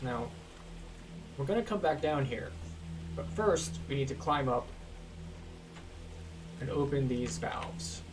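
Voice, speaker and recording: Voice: mid-range voice, animated, slow pace, pauses often, recorded from a distance, background noise. A gameplay guide host giving casual walkthrough commentary on a video game.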